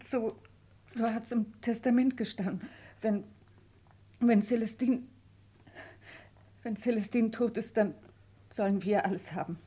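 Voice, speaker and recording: An actress playing a timid, earnest farm woman in a German radio play, speaking haltingly and anxiously. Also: on the high side, fairly even intonation, warm tone, husky. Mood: hesitant, earnest. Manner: halting, repeating words.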